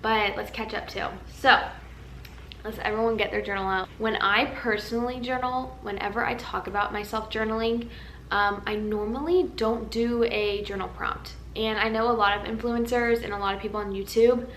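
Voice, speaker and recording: Tired, slightly raspy young woman vlogging. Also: medium pitch, steady tone, normal speed, fairly bright tone, echoey room, slight background noise, poor audio. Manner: chatty, rambling, earnest. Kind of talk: casual chat to camera. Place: large empty house used as a wedding venue.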